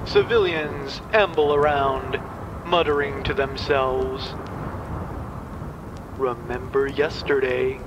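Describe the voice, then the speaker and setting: A man playing a grave, ominous dystopian narrator in scripted audio theatre, solemn and measured. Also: mid-range voice, steady tone, lo-fi sound, noisy background, lightly acted.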